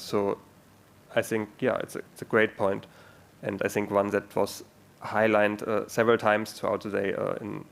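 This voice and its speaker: A man moderates and comments on a conference panel without a script. His low voice has a marked creak. He proceeds haltingly, stopping often to find the next phrase. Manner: hesitant, pausing often mid-sentence. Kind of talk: unscripted panel discussion. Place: live conference event.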